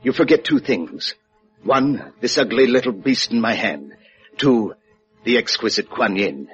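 An actor playing an urbane, menacing villain in 1950s radio drama, speaking with pompous, precise mock courtesy. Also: male voice, mid-range voice, expressive, unhurried, fairly bright tone. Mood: smug menace. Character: urbane, menacing male villain dealing in stolen treasure. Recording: muffled old 1950s radio recording, music.

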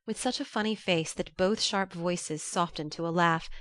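A woman's voice: on the low side, moderate pace, a bit bright. A woman reading literary fiction as an audiobook narrator.

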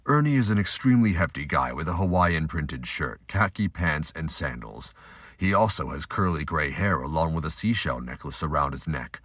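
A man narrating a scripted amateur audio drama with a husky voice. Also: extremely low pitch, animated, normal speed, fairly bright tone, no echo.

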